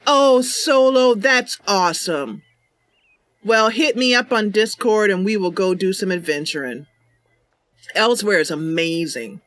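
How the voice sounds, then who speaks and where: Slow-talking, lively woman streaming a game. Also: mid-range voice, no echo. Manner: giggly, chatty, welcoming. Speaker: streamer, roleplay game host. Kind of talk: casual livestream game commentary with narration.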